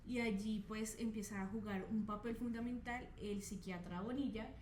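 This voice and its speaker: A woman's voice: medium pitch, steady tone, moderate pace, darkish tone. Woman, podcast co-host, echoey room, faint noise. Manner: adds details with tag questions. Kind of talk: true crime podcast chat.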